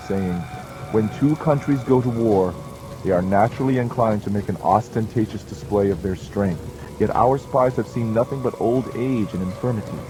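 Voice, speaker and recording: Low-voiced man telling history over music. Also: rough recording. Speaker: audiobook narrator. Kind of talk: scripted storytelling narration of historical anecdote. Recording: music and rain behind voice. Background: music and rain sounds.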